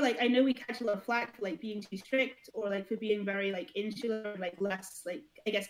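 A woman's voice: sits high, poor audio. A woman guest on a podcast, an esports founder and coach, speaking candidly in a rambling way full of "like" fillers and hesitant restarts. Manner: candid, blunt at times. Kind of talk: casual podcast conversation.

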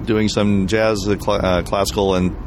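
Male podcast host, lowish voice, halting delivery, light street noise. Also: little pitch movement. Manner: halting, many fillers and restarts. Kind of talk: interview questions.

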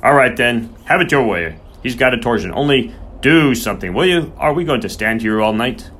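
A man's voice: fairly low pitch, animated, brisk, a bit bright, slightly rough. A man voicing a sneering, bad-tempered horse-keeper in an audiobook, snarling and sarcastic. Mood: furious, incredulous.

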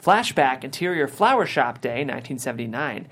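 A woman's voice: a bass voice, big pitch swings, a little fast. A woman reading scripted stage directions as narrator of a table read.

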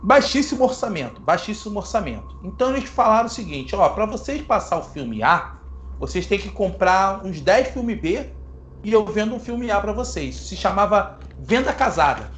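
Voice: mid-range voice, sing-song, normal speed, slight background noise. A host, explaining film history in a casual, playful way to the audience. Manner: playful, self-mocking asides.

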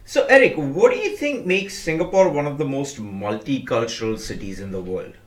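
A man from Mumbai with an Indian accent carrying a little American inflection, co-hosting a casual podcast in a relaxed, conversational way. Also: medium pitch, normal speed. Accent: Indian English with some American inflection. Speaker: podcast co-host.

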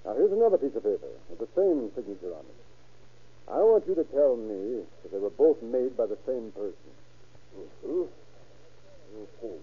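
An actor playing a hard-nosed, impatient sheriff questioning people in an old-time radio crime drama. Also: male voice, mid-range voice, big pitch swings, moderate pace, breathy edge. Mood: brisk, insistent. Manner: blunt, pressing, folksy. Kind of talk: 1930s-1940s radio acting. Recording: scratchy, tinny old radio recording.

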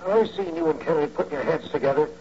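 An actor playing a sly, folksy old bank guard who can be bought, in an old radio drama. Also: male voice, on the high side, lively intonation, very fast, a little mellow. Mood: smug, menacing. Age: older. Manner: folksy, ungrammatical, drawling authority. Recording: muffled, hissy old radio recording.

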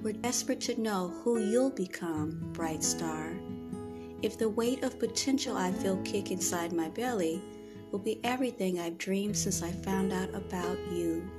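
A woman's voice: medium pitch, unhurried, lightly acted. Woman as tender young expectant mother over music. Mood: tender longing. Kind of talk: scripted monologue, voice-over audition.

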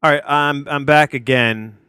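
A man's voice: a little high, steady tone, unhurried, pushed and bright, clean tone, no echo. Rambling, profane man reviewing films. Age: 30s. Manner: rambling, profane, self-interrupting. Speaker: podcast host. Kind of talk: casual film review. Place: home, tree crew working outside. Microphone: headphones on, home recording.

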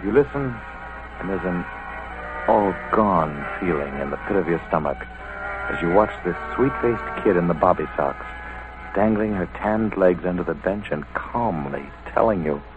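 An actor playing an ominous narrator, grave and dramatic in old-time radio style, addressing the listener. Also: male voice, low voice, lively intonation, moderate pace. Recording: tinny vintage radio recording. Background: music.

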